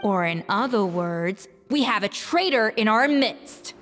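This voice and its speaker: A woman's voice: mid-range voice, expressive, unhurried, fairly bright tone. A woman playing a blunt, imperious young pastry chef in a game, with a theatrical no-nonsense manner. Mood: disdainful. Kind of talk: English game voice acting. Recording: long reverb over background music.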